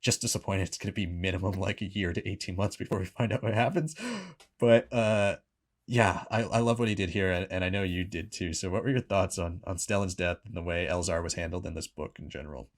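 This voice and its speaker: A man's voice: low voice, fairly quick. A man hosting a review show, giving his opinions in casual conversation. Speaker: book review show host.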